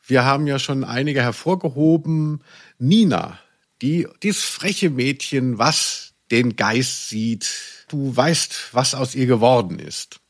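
A man's voice: medium pitch, slow. A man co-hosting a podcast in casual conversation, with a playful, ironic, teasing manner. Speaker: podcast co-host. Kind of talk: casual chat about audio dramas.

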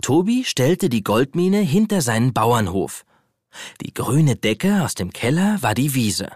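A man's voice: medium pitch, sing-song, a little slow, a little mellow, slightly rough, dry recording, clean studio sound. A man narrating a scripted children's story as the storyteller of a radio play. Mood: storytelling. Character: storyteller of a children's toy adventure.

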